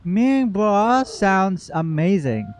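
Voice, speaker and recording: A man streaming casual game reaction commentary, expressive and joking, talking back to the characters. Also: high voice, extremely slow. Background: game music.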